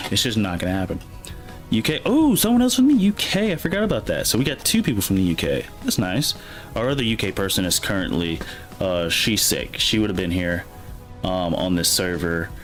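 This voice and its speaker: A man's voice: deep voice, a bit bright. A man with a light Alabama accent, chatting casually and rambling to his chat while playing a game. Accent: light Alabama Southern. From Alabama, USA. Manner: rambling, chatty, self-correcting, reads chat aloud. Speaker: gaming streamer.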